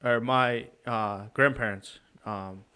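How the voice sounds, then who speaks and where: A man co-hosting a podcast, asking questions in a halting way, often searching for words. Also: medium pitch, steady tone, sharp tone, smooth. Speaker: co-host. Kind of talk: casual podcast interview.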